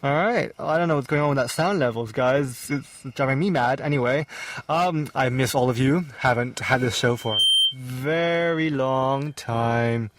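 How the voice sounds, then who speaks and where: A man's voice: mid-range voice, measured pace, a little hiss or hum. A young man hosting a student radio talk show, chatty and rambling, often correcting himself mid-sentence. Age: young adult. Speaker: host. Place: small student radio studio.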